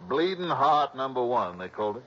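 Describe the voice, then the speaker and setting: An actor playing a folksy, drawling small-town police captain in a 1960s radio drama. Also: male voice, medium pitch, big pitch swings, brisk, fairly bright tone, slight background noise. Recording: dull old radio broadcast.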